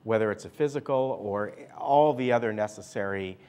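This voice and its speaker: Man, lower voice, talk show host, rambling chatty questions. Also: normal speed, a little mellow, smooth. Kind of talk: interview host.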